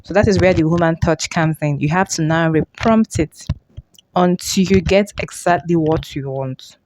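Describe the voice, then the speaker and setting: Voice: medium pitch, moderate pace, noisy background. A tutorial host explaining things informally, often repeating and rephrasing along the way. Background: music.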